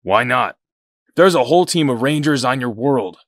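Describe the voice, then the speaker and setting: A man playing an older, hot-headed and distrustful rebel soldier in an audio drama, gruff and blunt. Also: medium pitch, animated, normal speed, fairly bright tone, no echo, clean studio sound, lightly acted. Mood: bitter, sullen.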